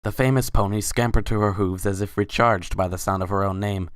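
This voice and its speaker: A woman's voice: very deep voice, fairly even intonation, brisk, no echo, studio quality. A woman reading scripted story narration as the narrator of a dramatic reading.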